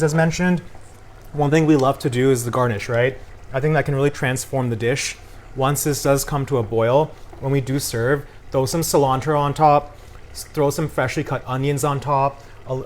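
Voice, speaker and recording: A man's voice: medium pitch, steady tone, a little fast, a little hiss or hum. An upbeat, chatty man explaining food casually as a guest cooking demonstrator. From Punjabi Indian family.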